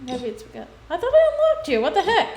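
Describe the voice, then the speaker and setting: A woman game streamer giving casual livestream commentary, rambling and thinking out loud. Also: very high voice, wildly swooping pitch, fast. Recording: roomy sound, faint noise.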